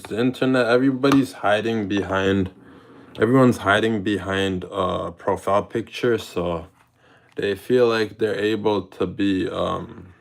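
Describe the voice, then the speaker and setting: A young male streamer talking to his chat while out in public. His voice sits very low with a gravelly edge. He keeps it laid-back and casual, thanking viewers by name. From mixed Jamaican and British heritage. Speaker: IRL streamer.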